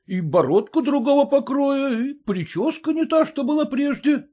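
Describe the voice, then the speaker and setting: A man's voice: very high voice, expressive, normal speed, mellow, pitched above the performer's own voice. A man voicing a cheerful village schoolteacher who teases his old friend. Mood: teasing. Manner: teasing, talkative.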